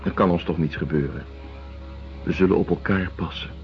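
A man's voice: low-pitched, a little fast. An actor playing an earnest, consoling man with a solemn, philosophical and reassuring manner in a radio drama. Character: earnest, consoling man of quiet hope and conviction. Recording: dull radio recording with music underneath.

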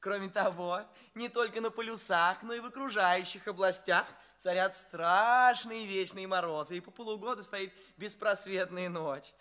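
High-voiced cocksure young alien student. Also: male voice, moderate pace, few pauses, pushed and bright, clear, resonant tone. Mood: earnest, confident. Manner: declamatory, reciting precisely like an exam answer. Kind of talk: theatrical audio play acting.

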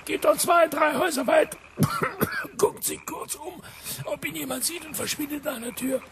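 An actor playing a gruff, chatty old British major who talks in clipped bursts, with a cough, in a radio drama. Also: male voice, extremely high pitch, normal speed, music in the background. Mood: gossipy, confiding. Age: older. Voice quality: frequent coughing, damaged breath. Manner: clipped telegraphic sentences, military bluffness.

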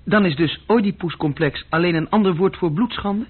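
An actor playing a bored, self-deprecating young male bookkeeping clerk in a radio drama, speaking haltingly. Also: high-pitched, slight background noise, natural voice. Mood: curious, awkward. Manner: halting, searching for words. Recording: muffled old radio recording.

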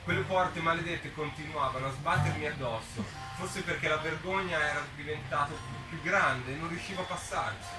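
Male live reader, expressive first-person storytelling, roomy sound with prominent guitar behind. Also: on the high side, moderate pace, hardly any pauses. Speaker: reader at a book presentation. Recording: roomy, distant mic, guitar music behind. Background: plucked guitar music.

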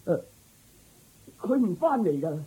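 A woman's voice: on the low side, very animated, unhurried, mellow, slightly rough, exaggerated voice, rough recording. A woman playing a loyal, humble male groom in a radio drama, deferential and pleading toward royal masters. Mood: respectful, reporting.

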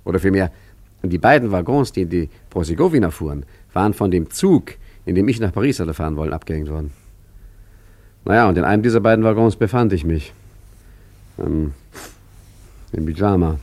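A man's voice: deep voice, lively intonation, brisk, pauses often, darkish tone, dry recording. An actor playing an unflappable, rambling male traveller in a German radio play, hesitant and wryly correcting himself. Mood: wry recounting. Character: rambling, unflappable, tipsy male traveller recounting his misadventure. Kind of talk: German radio play acting, chatty first-person narration.

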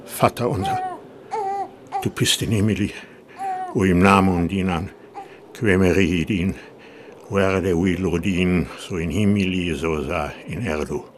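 An actor playing a stern, pious, bitter medieval village priest and father in a German radio drama, preaching gravely and lapsing into Latin. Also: sits low, big pitch swings, slow pace, pauses often, a little hiss or hum, exaggerated voice. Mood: praying.

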